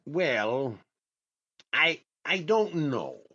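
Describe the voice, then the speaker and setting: Male actor as hesitant, fussy comedy shopper, old-time radio style, animated delivery, lo-fi sound. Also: fairly high pitch, extremely slow, exaggerated voice. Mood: dithering. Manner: hesitant, dithering. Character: fussy, indecisive, penny-careful male shopper.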